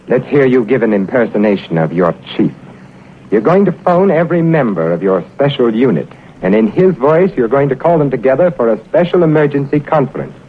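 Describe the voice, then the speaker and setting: An actor playing a weary, sardonic wartime secret agent in a measured 1940s radio drama style, on an old hissy recording. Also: male voice, mid-range voice, sing-song, narrow, tinny audio, close to an everyday voice. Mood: commanding, mocking.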